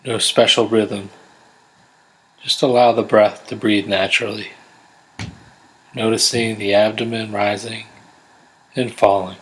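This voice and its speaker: A man guiding a body scan meditation in a gentle, unhurried, measured way, leaving pauses between instructions. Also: fairly low pitch, little pitch movement, husky, low-quality recording. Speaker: meditation guide. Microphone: close USB condenser microphone.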